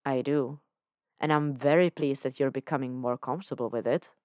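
A woman voicing a suave male devil who has become God, speaking in a dry, unhurried, formal way. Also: rumbling low, fairly even intonation, darkish tone, no room sound. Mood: tender.

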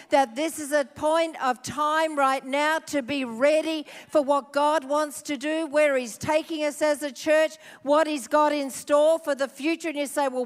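A woman pastor preaching a sermon, emphatic, repeating her phrases for effect. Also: high voice. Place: church hall. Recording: long hall reverb.

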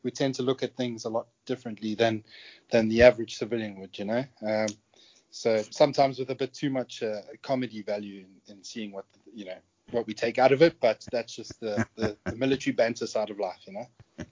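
A man's voice: fairly low pitch, fairly even intonation, fairly quick, a little mellow, lo-fi sound. A South African man in his thirties, a guest on a remote call, telling his story in a chatty way and chuckling often. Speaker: guest, security contractor. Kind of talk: casual interview storytelling.

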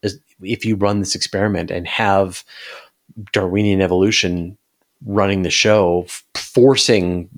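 A man with a fairly low voice talks casually about science. He thinks out loud, restarting and rewording his points as he builds an argument. It sounds like an informal podcast discussion. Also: fairly even intonation, measured pace. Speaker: science interviewer and podcast guest.

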